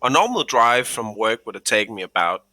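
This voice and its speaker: A man's voice: mid-range voice, big pitch swings, brisk, bright, forceful tone, no echo. A man in his thirties playing a tabletop game, chatting and teasing his friends in a joking way. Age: 30s. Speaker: player. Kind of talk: casual chat between tabletop game turns.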